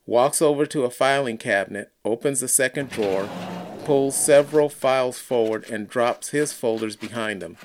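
A man narrating an audiobook in a deliberate read-aloud, occasionally stumbling over words. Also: mid-range voice, slight background noise. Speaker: audiobook reader.

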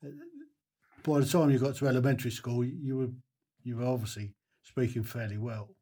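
A man interviewing casually, asking questions in a halting, hesitant way that tends to trail off. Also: mid-range voice, steady tone, warm tone, slightly rough.

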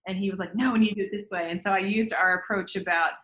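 Woman, quick talker, bright, upbeat, studio guest. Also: medium pitch, steady tone. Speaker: guest employee. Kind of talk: casual studio chat.

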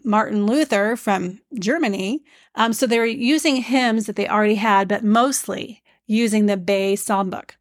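A woman with a stuffed-up nose hosting a devotional hymn podcast, speaking in a gentle, teacherly way with frequent fillers. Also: medium pitch, no echo, studio quality. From Iowa, USA. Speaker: podcast host.

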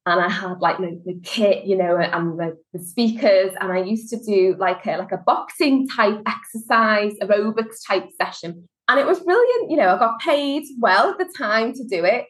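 A woman's voice: medium pitch, expressive, normal speed, few pauses, poor audio. Scouse woman, thirties, interview guest; chatty, storytelling, natural fillers. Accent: Liverpool (Scouse). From Liverpool, England. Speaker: guest, HR consultancy director. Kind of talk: conversational interview.

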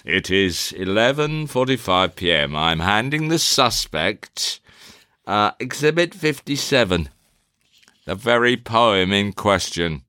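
A man playing a cool, probing interrogator in a theatrical drama, questioning in a formal, deliberate, insinuating way. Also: fairly low pitch, animated, sharp tone, no room sound, studio quality, exaggerated voice. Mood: accusing.

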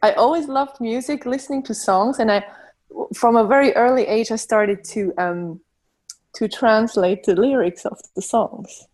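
A woman from Switzerland, a native Swiss German speaker with a Swiss German accent, talking as a guest in a relaxed interview and pausing now and then to find the right word. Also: on the high side. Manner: thoughtful, searches for words. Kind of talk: casual interview conversation. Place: remote from her home.

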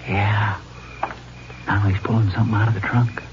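An actor playing a plainspoken small-town sheriff in an old radio drama, speaking in a blunt, folksy way. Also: male voice, lowish voice, level tone, moderate pace, natural voice. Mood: hushed. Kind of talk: 1950s radio drama acting. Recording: muffled old radio broadcast with hiss.

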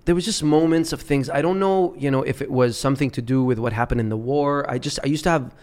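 A man who grew up in Dubai tells stories as a podcast guest in a relaxed, conversational way. He rambles, repeats words, and restarts his sentences as he goes. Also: mid-range voice, quick pace. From Lebanon, raised in Dubai. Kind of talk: casual storytelling chat.

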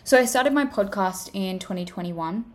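A woman's voice: mid-range voice, expressive, moderate pace. A woman with an Australian accent hosting her podcast, chatting casually, swearing freely and stacking repeated phrases. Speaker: podcast host and life coach. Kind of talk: casual solo podcast monologue. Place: home room. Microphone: simple home setup.

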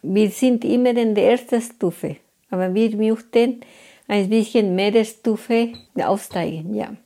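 Mellow-voiced woman from Bolivia. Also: medium pitch, takes it slowly, gravelly. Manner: hesitant, searching for words. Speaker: interviewee. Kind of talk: personal account in learner German.

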